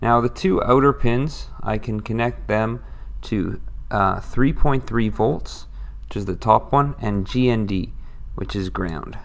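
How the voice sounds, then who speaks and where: Patient male tutorial instructor, low and gravelly, thinks aloud. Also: unhurried. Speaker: electronics instructor. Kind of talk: step-by-step tutorial.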